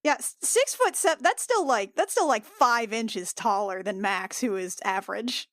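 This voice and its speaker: High-voiced woman chatting at the game table. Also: expressive, moderate pace, no echo, clean studio sound. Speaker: player in a tabletop roleplaying game. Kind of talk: casual table chat.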